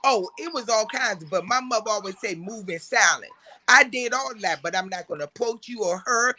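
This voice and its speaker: A woman's voice: a little high, brisk, bright, forceful tone. A woman talking bluntly and casually, swearing as she tells relationship stories in a group chat. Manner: blunt, profane. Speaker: talk show panelist.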